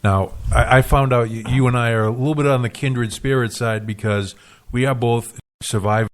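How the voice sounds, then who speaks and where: A man's voice: medium pitch, steady tone, normal speed. A man hosting a local radio talk show, joking with his guest and often restarting his sentences. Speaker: radio host. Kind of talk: casual radio interview.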